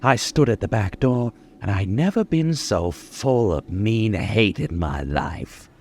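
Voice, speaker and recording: A man's voice: lowish voice, lively intonation, darkish tone. A man playing a boastful, menacing young killer in an old-time radio crime drama, dwelling on his words. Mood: bitter, narrating. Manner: menacing, gloating, dwelling slowly on details. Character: boastful, unhinged young male killer holding police at gunpoint.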